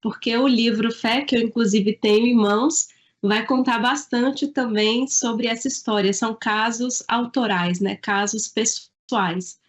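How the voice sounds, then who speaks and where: A woman from Salvador, an author answering interview questions in an earnest, thoughtful way with the odd halting restart. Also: a little high, fairly even intonation. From Salvador, Bahia, Brazil. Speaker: author and guest.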